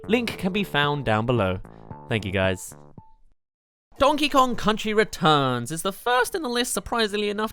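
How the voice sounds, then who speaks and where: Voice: mid-range voice, fairly bright tone. An enthusiastic, chatty reviewer, narrating a scripted video game countdown. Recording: music behind the voice.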